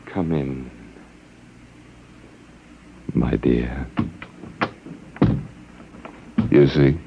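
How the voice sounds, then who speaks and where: An actor playing a gentle, wistful, scholarly last man on earth in a 1950s radio drama, speaking in a measured, musing way. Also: extremely low pitch, muffled recording, full character voice. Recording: noisy vintage 1950 radio recording.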